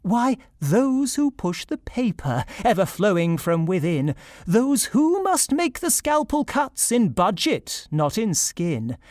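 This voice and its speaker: A man's voice: high voice, a little mellow, dry recording, clean studio sound, exaggerated voice. A male actor playing a smug, callous government spokesman, pompously reciting satirical verse in a theatrical, declamatory style. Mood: ominous, grand.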